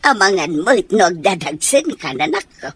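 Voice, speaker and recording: A woman's voice: mid-range voice, sing-song, a little slow, fairly bright tone, breathy edge, full character voice. An actress with an Ilocano Filipino accent playing a doting, cheerful middle-aged mother in a radio drama. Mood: concerned.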